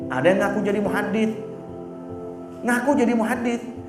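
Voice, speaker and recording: A high-voiced man gives a blunt, teasing sermon. He talks casually and keeps stopping to search for his words. The room echoes, and music plays clearly in the background. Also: moderate pace. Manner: casual and blunt, teasing, fillers like "apa namanya". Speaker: preacher. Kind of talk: religious sermon.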